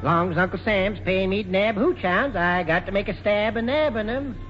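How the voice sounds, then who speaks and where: An actor as a higher-voiced, folksy lawman. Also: normal speed, over music, lightly acted. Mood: confiding, affable. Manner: rustic, colloquial. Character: folksy, easygoing small-town prohibition lawman. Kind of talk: 1940s radio drama acting. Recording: muffled old radio broadcast.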